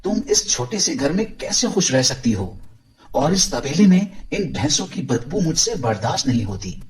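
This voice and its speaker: A man playing a greedy, discontented village milkman in an animated moral story, boastful, scheming and dismissive. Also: fairly high pitch, moderate pace.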